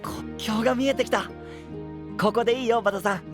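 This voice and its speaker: An actor playing an eager, boyish young knight in an anime voice drama, cheerful and casual in manner. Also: male voice, extremely high pitch, fairly quick, over music. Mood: excited. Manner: excitable, casual, rustic, boyish.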